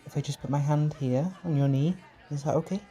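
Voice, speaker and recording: A man playing a gentle, protective caretaker in a roleplay comfort audio, speaking in a soft, reassuring, tender way. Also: a little high, brisk, natural voice. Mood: tender, soothing. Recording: music and party chatter behind.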